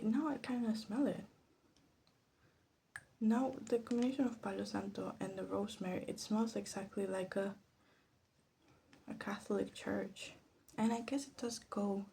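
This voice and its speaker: A woman speaks in a soft, mellow voice with plenty of fry, often dropping to a whisper. She muses hesitantly and self-deprecatingly as an ASMR host, over faint background noise and small clicks. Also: mid-range voice. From Latin America. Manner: hushed, often slipping into a whisper. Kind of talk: soft-spoken ASMR commentary while demonstrating. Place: bedroom.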